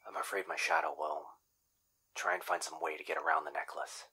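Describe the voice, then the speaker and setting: Man acting a breathy, anxious young boyfriend. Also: extremely low pitch, little pitch movement, brisk, exaggerated voice. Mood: worried, subdued. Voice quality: soft, breathy, often whispering. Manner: hesitant, gentle. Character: anxious, caring young boyfriend guarding his partner. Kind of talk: boyfriend ASMR roleplay.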